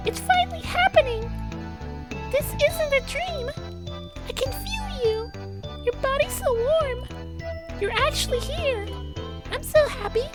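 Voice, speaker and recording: A lovestruck schoolgirl from a visual novel. The voice leaps far up into a squeaky, girlish falsetto. She clings to every phrase, gushing and pleading. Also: animated, a little slow, long pauses, loud music behind the voice. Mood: gushing, elated. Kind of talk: visual novel character read aloud.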